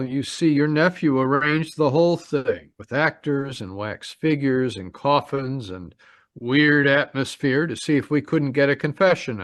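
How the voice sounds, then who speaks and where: A man's voice: medium pitch, fairly bright tone, no room sound. A man acting a stern, formal homicide lieutenant in an old-time radio drama. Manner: formal, authoritative. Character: stern homicide lieutenant, masterminding a trick confession.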